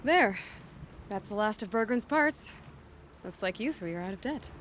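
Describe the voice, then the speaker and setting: A woman playing a calm, kind and capable young huntress in a video game cutscene. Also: mid-range voice, normal speed, a little hiss or hum, lightly acted. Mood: calm, friendly. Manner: calm, direct, sympathetic. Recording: music behind the voice.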